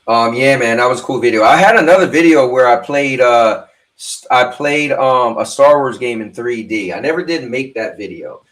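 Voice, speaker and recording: A man's voice: medium pitch, moderate pace, a bit bright, recorded from a distance. Fifty-year-old man chatting with his stream. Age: 50s. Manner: loose, rambling, talks straight to chat. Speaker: livestream host. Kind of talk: casual chat with a livestream audience. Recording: roomy sound, away from the mic.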